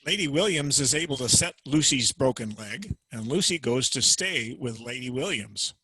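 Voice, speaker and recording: Matter-of-fact male presenter, bright tone, dry close sound. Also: mid-range voice, expressive, normal speed, slightly rough, no echo, poor audio. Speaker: program host introducing acts. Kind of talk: plain explanatory summary. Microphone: video call.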